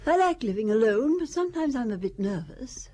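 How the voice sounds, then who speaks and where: A woman's voice: fairly high pitch, very animated, a little fast, mellow. An actress playing a genteel, hospitable and nervously talkative old lady in an audio drama. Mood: chatty, coaxing. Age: older.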